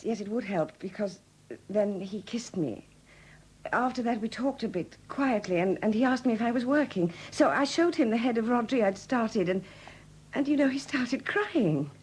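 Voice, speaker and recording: An actress playing an anxious, apologetic woman who speaks haltingly in a 1970s radio drama. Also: mid-range voice, a little mellow, slight background noise, natural voice, low-quality recording. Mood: distressed confiding. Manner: hesitant, faltering, apologetic. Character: anxious, hesitant Welsh woman, troubled and polite.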